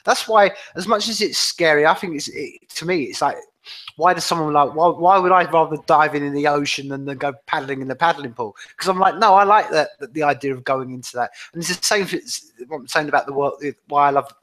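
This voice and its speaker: A man hosting a casual, animated conversation, rambling along with repeated words and matey asides. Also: a little high, fairly quick.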